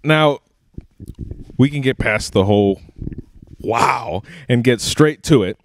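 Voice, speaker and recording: A man's voice: mid-range voice, takes it slowly, dry recording. Earnest male wrestling interviewer, dry sound. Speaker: interview show host. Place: indoor wrestling ring. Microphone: handheld microphone held close.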